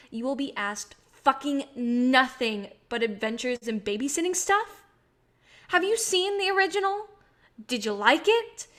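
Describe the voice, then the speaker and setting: A woman's voice: high voice, bright, forceful tone, heavily acted. A woman in a staged play reading, playing an exasperated but level-headed film colleague who is reproving and insistent.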